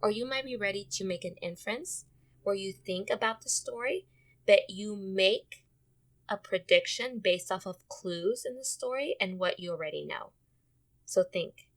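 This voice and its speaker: Bright-voiced woman teacher reading aloud. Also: medium pitch, unhurried. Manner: expressive, addresses young readers directly, repeats questions. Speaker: elementary school teacher. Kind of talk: children's read-aloud lesson with questions.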